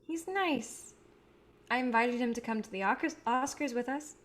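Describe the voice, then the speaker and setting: A woman's voice: fairly high pitch, normal speed, close to an everyday voice. A woman in a staged reading, playing a friendly young film director who talks casually and directly. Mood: casual, teasing.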